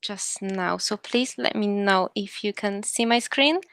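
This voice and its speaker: A woman's voice: mid-range voice, measured pace, gravelly, a bit bright, no echo. A young woman from Poland with a Polish accent, an assistant psychologist giving an earnest presentation with frequent ums and restarts. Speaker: assistant psychologist, guest speaker. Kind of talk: presentation talk.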